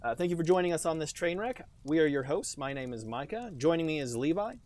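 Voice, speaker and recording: A male podcast host with a fairly high voice, trading sarcastic jokes with his co-hosts in loose, casual chat. He talks in an animated, playful way. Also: quick pace.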